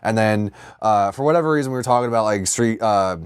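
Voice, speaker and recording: Male co-host, lower-pitched, dry sound, crude and slangy storyteller in casual comedic chat. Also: fast talker, clear, resonant tone, no echo. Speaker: podcast co-host.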